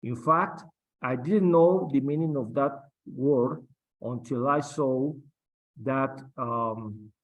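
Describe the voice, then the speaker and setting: A middle-aged man whose first language is Spanish speaks slowly and haltingly, with many fillers and restarts. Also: mid-range voice, low-quality recording. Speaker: guest captain. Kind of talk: interview on aviation.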